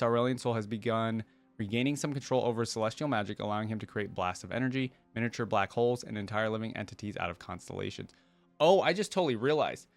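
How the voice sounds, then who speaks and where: A man hosting a reaction video in an informal way, reading text aloud and thinking out loud about it. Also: on the low side, dry recording. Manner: informal, thinking aloud between read passages. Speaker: reaction channel host.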